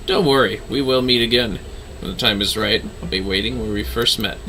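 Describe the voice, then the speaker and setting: A man voicing a mysterious dark knight mentor from a fantasy game, solemn, measured and formal. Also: fairly low pitch, slight background noise, poor audio. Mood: grave, reassuring.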